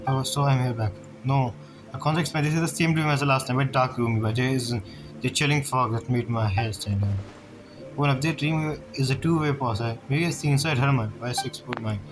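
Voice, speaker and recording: A man doing casual game commentary and reading dialogue aloud, with halting, non-fluent phrasing. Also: medium pitch, rough recording. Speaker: gamer, let's-play commentator. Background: game music.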